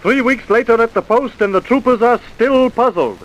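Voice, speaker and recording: A man's voice: very high-pitched, moderate pace, muffled recording. A man playing the narrator of an old-time radio serial, reading scripted story links in earnest. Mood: storytelling.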